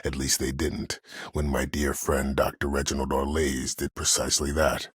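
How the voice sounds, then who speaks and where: An older man acting a video game role: a cunning, proud old disgraced admiral who speaks in a measured, formal, husky voice with quiet menace. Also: level tone, no room sound, studio quality, full character voice. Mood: defiant, measured. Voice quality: husky, very deep. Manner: measured, formal, self-assured. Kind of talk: video game character dialogue.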